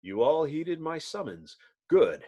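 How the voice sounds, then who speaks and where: A man's voice: a little high, big pitch swings, slow, dark tone, highs cut off, full character voice. A man voicing a grave, commanding leader of superheroes in a solemn, portentous manner.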